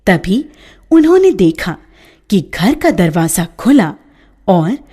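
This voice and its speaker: A woman narrating a village story in a measured, expressive way. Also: mid-range voice, slightly rough.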